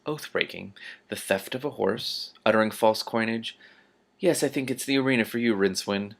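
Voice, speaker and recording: A man reading aloud as a fat male ruler, measured and formal, amiably threatening. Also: fairly low pitch, creaky. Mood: mock-reproachful. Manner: measured, formal, mock-polite.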